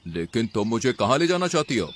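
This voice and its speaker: Man playing a commanding, expressive cartoon lion king. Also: medium pitch, a little fast, slight background noise, close to an everyday voice. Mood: distrustful. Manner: commanding, regal. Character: stern, proud lion king of the jungle. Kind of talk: Hindi children's cartoon voice acting.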